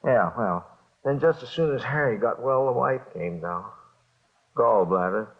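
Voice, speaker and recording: An actor playing a jumpy middle-aged small-time bookmaker confessing to police in halting, repetitive speech, in 1950s radio drama style. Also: male voice, mid-range voice, expressive. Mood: weary, explaining. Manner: halting, repetitive, nervous hedging. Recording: dull old radio recording.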